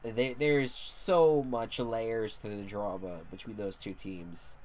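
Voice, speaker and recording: Congested young male gamer, low-pitched, loose and profane, repeats himself a lot. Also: steady tone, takes it slowly, a little mellow. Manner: rambling, swears casually, repeats words. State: congested, stuffy nose. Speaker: gaming commentator. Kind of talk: casual commentary while playing a football video game.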